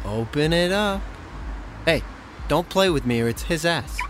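An actor playing a menacing, mocking debt-collecting thug in a screen drama, taunting and intimidating. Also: male voice, on the high side, lively intonation, unhurried, noisy background. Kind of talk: naturalistic screen drama acting.

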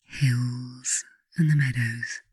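A woman narrates in a reflective, scripted style suited to an audiobook or guided meditation. Her phrasing is measured and deliberate, broken by frequent pauses. The recording is dry, with little room sound around the voice. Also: rumbling low, steady tone, a little mellow, slightly rough, no room sound. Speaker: author reading own book, guided meditation narrator.

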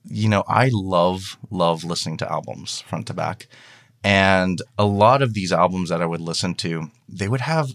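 Very low-voiced man in casual interview. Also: normal speed, a bit bright. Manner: conversational, quotes his own thoughts aloud. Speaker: guest, fiction podcast creator.

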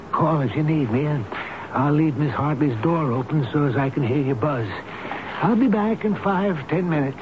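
An actor playing a devoted, sentimental old elevator operator with a folksy, halting way of talking, in 1970s radio drama style. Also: male voice, on the high side, lo-fi sound, close to an everyday voice. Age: older. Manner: folksy, plain-spoken, halting. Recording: band-limited, noisy old radio broadcast.

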